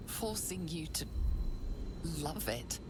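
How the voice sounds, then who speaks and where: An actress playing an ominous game narrator, speaking in the second person in a hushed, breathy, menacing way. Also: female voice, low-pitched, animated, takes it slowly, very noisy. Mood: sinister, stern. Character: ominous female narrator voicing the player's dark inner urges.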